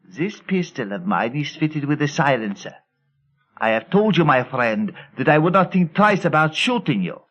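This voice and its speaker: A man playing a menacing, touchy dwarf henchman in a radio serial, speaking with cold, formal, deliberate politeness. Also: fairly high pitch, sing-song, a bit bright. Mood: cold, irritated. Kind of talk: vintage radio serial acting. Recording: dull, band-limited old radio recording.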